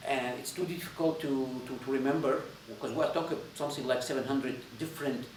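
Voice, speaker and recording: A man with a Syrian accent, a native Arabic speaker, gives an informal lecture in a halting, repetitive way, searching for words. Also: mid-range voice, fairly even intonation. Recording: roomy echo, distant mic, faint noise.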